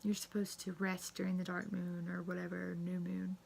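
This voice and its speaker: A woman losing her voice, croaky, chatting casually and rambling with lots of fillers and swearing. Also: on the low side, completely flat delivery, normal speed, darkish tone, slight background noise. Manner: profane, rambling, frequent fillers. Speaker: witchcraft vlogger. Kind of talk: casual tag-video chat.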